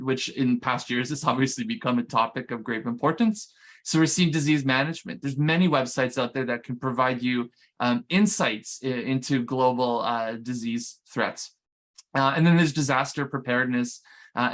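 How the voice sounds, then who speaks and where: A man speaks at a conference, explaining his points in a loose, conversational way. His pitch sits in the middle, and he often restarts his sentences and fills gaps with short pauses. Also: a bit bright. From Canada. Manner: conversational, many restarts and fillers. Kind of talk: conference presentation.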